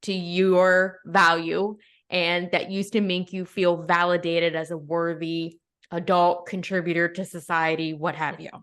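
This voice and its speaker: A woman's voice: fairly low pitch, little pitch movement, a little slow, a bit bright, smooth. Frank, earnest woman guest. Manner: earnest, frank, laughs between points. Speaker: podcast guest. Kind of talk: casual conversation.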